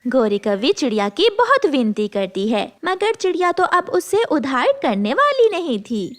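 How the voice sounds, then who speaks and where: High, lively woman storyteller. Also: moderate pace. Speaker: story narrator. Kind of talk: scripted children's story narration.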